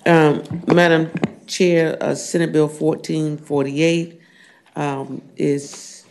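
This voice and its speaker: A hoarse man with a scratchy voice, a state senator formally presenting bills in a committee room, reading the text at length with many fillers. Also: a little high, level tone, slow. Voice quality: scratchy, gravelly. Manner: reads bill text at length with frequent fillers. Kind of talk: formal legislative bill presentation.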